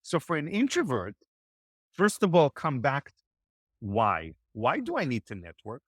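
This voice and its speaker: Energetic man, coaching tone, dry mic. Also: mid-range voice, a little slow, no echo. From Israel. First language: Hebrew. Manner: energetic, motivational, acts out quoted dialogue. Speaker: guest, networking coach. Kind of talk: animated podcast interview.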